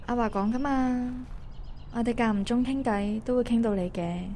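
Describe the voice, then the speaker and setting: A woman acting as a composed, probing and quietly wounded first love in a Cantonese radio drama. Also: fairly high pitch, moderate pace, a little hiss or hum, close to an everyday voice. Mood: curious, probing. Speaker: voice actor playing the first love. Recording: music under the dialogue.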